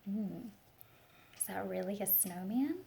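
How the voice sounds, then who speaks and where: A woman reading a picture book aloud to young children, expressive and speaking to them directly with teacher-like questions and asides. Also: mid-range voice, a little slow, gravelly, slight background noise. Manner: expressive storytelling, talks directly to young listeners.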